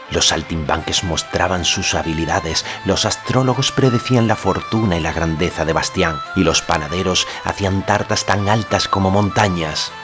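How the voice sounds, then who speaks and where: A man narrating a fantasy audiobook in a scripted, dramatic literary storytelling style. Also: low voice. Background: faint music.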